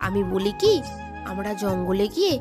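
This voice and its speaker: A woman's voice: mid-range voice, very animated, moderate pace, over music, lightly acted. A woman voicing a doting, easily amazed village mother in a cartoon, exclamatory and coaxing. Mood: reproachful. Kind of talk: Bengali moral-story cartoon acting.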